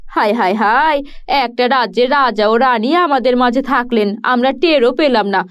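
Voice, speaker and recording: A woman's voice: fairly high pitch, normal speed, fairly bright tone, clear, resonant tone, muffled recording, lightly acted. Lamenting villager voiced by a woman. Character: grieving villager, sad and talkative.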